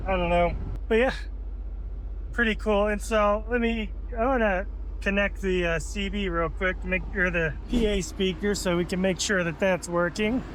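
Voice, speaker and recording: Higher-voiced man over clear background noise. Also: fairly bright tone. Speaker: host demonstrating a vehicle radio installation. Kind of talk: casual hands-on how-to vlog. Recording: noisy car and outdoor ambience.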